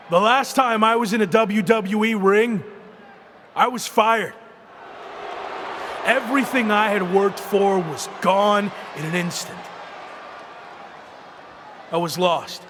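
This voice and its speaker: An actor playing a cocky wrestler who cuts an emphatic, boastful ring promo in a game cutscene. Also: male voice, high voice, slow, long pauses, crowd noise, background noise. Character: cocky young male wrestler making a comeback.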